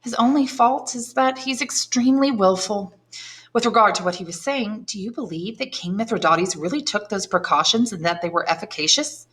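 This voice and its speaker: Woman reading a high-strung noblewoman, eager and probing, lifted pitch, slow and deliberate. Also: fairly bright tone, lightly acted. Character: curious, flattering aristocratic lady, mother, high-strung.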